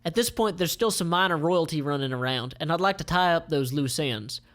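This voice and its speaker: A man's voice: fairly high pitch, fairly quick, fairly bright tone, voice pushed up, lightly acted. A man voicing a scheming commander in a comic, speaking in an emphatic, theatrical way. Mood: businesslike scheming. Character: scheming commander plotting to capture fleeing royals.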